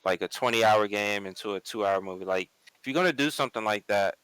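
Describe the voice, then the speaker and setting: A man's voice: fairly low pitch, quick pace, a bit bright, smooth, dry recording, rough recording. A man talking casually as a panelist in a group discussion, emphatic and opinionated, repeating himself for stress. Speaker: panelist on an online pop culture show.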